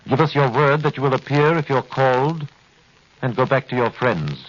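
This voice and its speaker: Man acting a low-voiced royal prosecutor, old radio. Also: moderate pace, lightly acted. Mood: measured, coaxing. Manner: clipped, formal, questioning with authority. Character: authoritative, calculating male royal prosecutor. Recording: muffled, noisy 1930s radio recording.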